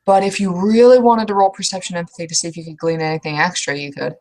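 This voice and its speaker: A woman's voice: lowish voice, steady tone, fast talker, darkish tone. A woman casually narrating and explaining as the game master of a tabletop roleplaying game.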